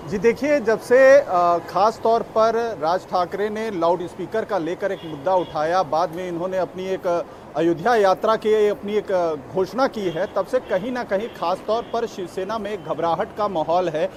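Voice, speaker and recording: A man giving a live political report as a news correspondent, somewhat halting as he searches for words. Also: high voice, slight background noise.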